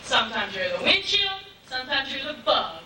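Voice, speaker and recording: A woman's voice: sits high, big pitch swings, fairly quick, loud music behind the voice. An actress playing a world-weary, unlucky working woman in a stage musical comedy, wisecracking with resignation. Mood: wry, resigned. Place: theater stage. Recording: distant, very echoey theater videotape.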